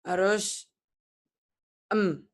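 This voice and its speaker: A man's voice: high-pitched, fairly even intonation, drawn-out words. A man answering recitation questions in short, hesitant replies. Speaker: student.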